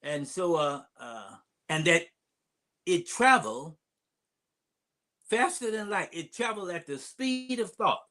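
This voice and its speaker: An older man, an imam, giving an unscripted public address, telling stories from memory in a halting way with digressions. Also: sits high, lively intonation, pushed and bright. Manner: halting delivery with long digressions. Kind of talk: unscripted storytelling address, reminiscing.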